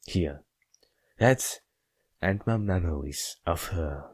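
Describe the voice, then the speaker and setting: Man reading as a low, brooding loner. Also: takes it slowly, darkish tone, breathy edge, heavily acted. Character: Jack, aloof, withdrawn, brooding male narrator of visual novel.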